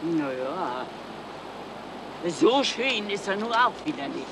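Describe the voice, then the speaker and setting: A man's voice: a little high, big pitch swings, slow, full character voice. An actor playing a sceptical, blunt, teasing male passer-by haggling over a dog, in a 1970s German radio crime comedy. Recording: 1977 radio play, street traffic noise.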